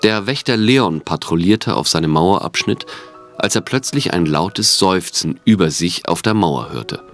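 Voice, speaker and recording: A man narrates a scripted radio play. His voice sits very low, and music plays clearly behind him. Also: expressive, measured pace, a bit bright. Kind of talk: scripted audio drama narration.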